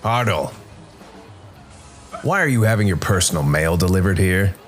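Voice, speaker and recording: A man's voice: deep voice, lively intonation, normal speed, faint music behind. Man acting a curt, irritable auto shop boss. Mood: irritated, scolding. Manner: curt, nagging.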